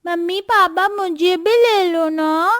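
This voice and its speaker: A woman's voice: very high voice, slow pace, a bit bright, no echo, clean studio sound, heavily acted. A woman playing a whiny little child in a cartoon, pleading for attention.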